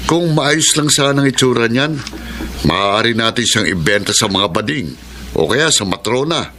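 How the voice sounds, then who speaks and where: A man's voice: medium pitch, lively intonation, normal speed, pushed and bright, a little hiss or hum. An actor playing a brutal, greedy stepfather, mocking and bullying, with a Filipino accent in radio drama style. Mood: scheming.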